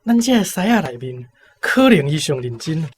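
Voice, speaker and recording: A man's voice: fairly high pitch, big pitch swings, normal speed, slightly rough. A Taiwanese man playing an observant, gossipy young apprentice in a radio drama.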